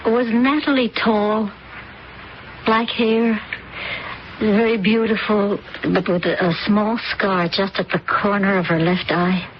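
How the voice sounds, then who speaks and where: An actress in a vintage radio drama playing a shaken woman who saw a drowning, speaking in a halting, earnest, hushed way. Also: medium pitch, fairly even intonation, slight background noise, close to an everyday voice. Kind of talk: 1970s radio drama acting. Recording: dull vintage radio recording.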